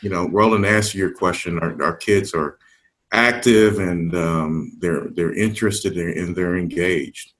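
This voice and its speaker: An older man speaking in a measured conversational discussion, pausing often with ums as he gathers his thoughts. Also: deep voice, fairly even intonation, a bit bright. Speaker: discussion panelist.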